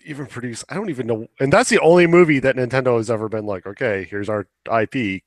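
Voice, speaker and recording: A man's voice: mid-range voice, quick pace, no room sound. Blunt man chatting in a dry recording. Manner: informal, blunt, opinionated, swears. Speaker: podcast co-host. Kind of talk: casual chat and commentary.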